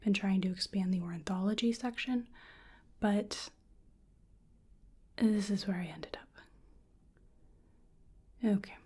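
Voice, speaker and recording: Soft, breathy woman whispering slowly. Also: medium pitch. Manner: gentle, unhurried, hesitant musing. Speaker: ASMR performer playing a researcher examining a creature. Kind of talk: soft-spoken ASMR medical exam roleplay.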